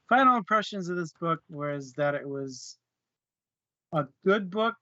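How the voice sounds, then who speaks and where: A man's voice: on the high side, expressive, slow pace, pushed and bright, no room sound, highs cut off. A man casually discussing a book as a co-host, hesitant and searching for words, with small chuckles. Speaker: book club podcast co-host.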